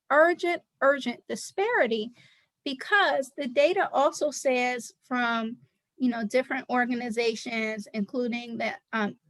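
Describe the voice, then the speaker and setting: A woman's voice: on the high side, fairly bright tone, dry recording, low-quality recording. A woman guest on an interview podcast, speaking as a nonprofit director in an earnest, emphatic way and repeating key words for stress.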